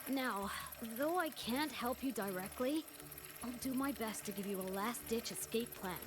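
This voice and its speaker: A woman playing an expressive young female guide to a dream world in a video game, speaking in an animated way. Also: fairly high pitch, normal speed, background noise, lightly acted. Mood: explaining, concerned. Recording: game music behind the voice. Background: game music.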